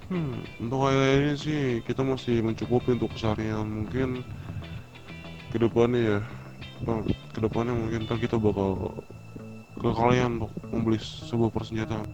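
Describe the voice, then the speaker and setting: A man's voice: medium pitch, level tone, normal speed, natural voice. A man roleplaying a cooperative street gang leader in an online game, talking informally and a little hesitantly. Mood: cooperative, casual. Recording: muffled game voice chat with music.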